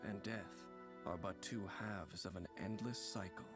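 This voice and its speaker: A man giving scripted promotional narration for a game, in a measured, formal storytelling delivery. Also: deep voice. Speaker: video narrator. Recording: music playing behind the voice. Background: music.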